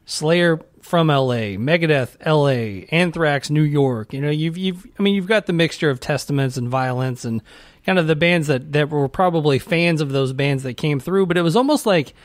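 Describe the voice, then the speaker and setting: A man with a somewhat higher voice gives casual podcast commentary. He explains things as he thinks aloud. The sound is close and dry, with very little room. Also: normal speed, no room sound. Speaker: podcast co-host reviewing a music documentary.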